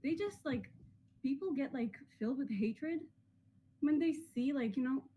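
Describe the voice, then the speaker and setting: A woman's voice: high voice, unhurried, dark tone, a little hiss or hum. A woman in her twenties from Israel arguing in an online video chat, speaking with many fillers like "like". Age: 20s. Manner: filler-heavy, lots of "like".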